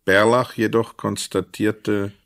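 A man narrating a radio drama from a script in a measured, literary storytelling manner. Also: lowish voice, level tone, a bit bright, no room sound, studio quality.

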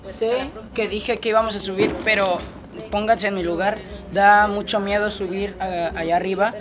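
A man's voice: high-pitched, fairly even intonation, moderate pace, crowd noise. A teenage boy, chatting casually and joking with slang as he films a night-time vlog on a phone, outdoors on a street and then inside an empty house. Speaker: vlogger.

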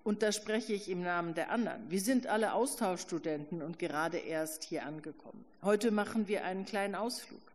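Ein Mann, der bei einer Lesung einer lebhaften, zierlichen jungen Studentin mit kesser, bestimmender Art die Stimme leiht, in einem Saal mit langem Nachhall. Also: male voice, high-pitched, a little fast, hardly any pauses. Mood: cheeky. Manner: bossy, self-consciously witty. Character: skinny, lively young female student, cheeky. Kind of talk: literary reading. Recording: reverberant hall.

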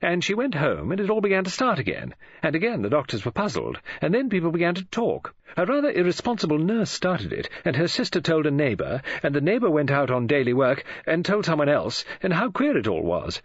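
A woman's voice: low voice, wildly swooping pitch, brisk, hardly any pauses, slightly rough, no room sound, lo-fi sound, pitched above the performer's own voice, exaggerated voice. A man voicing a chatty, flustered middle-aged English woman novelist in an audiobook, with a gushing, breezy manner. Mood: confiding.

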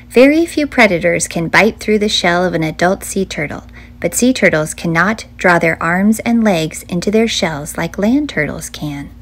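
A middle-aged woman reads a children's book aloud as a storytime host, with a clear, measured storytelling delivery. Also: medium pitch. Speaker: children's author and librarian hosting a storytime.

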